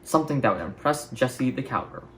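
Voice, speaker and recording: A man's voice: medium pitch, expressive, fast talker, a bit bright, a little hiss or hum. A man reading a children's story as a scripted narration. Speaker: storybook reader.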